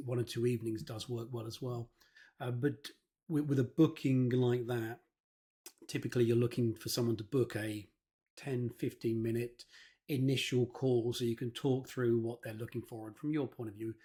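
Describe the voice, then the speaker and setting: A man's voice: mid-range voice, a little mellow. A man presenting an informal instructional talk, hesitant and often repeating words as he explains. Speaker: presenter, marketing consultant.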